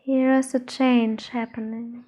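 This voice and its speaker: High-voiced slow woman guiding meditation. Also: level tone, muffled recording. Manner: soothing, unhurried, gentle instructions with pauses. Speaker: meditation teacher, channel host. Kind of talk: guided meditation.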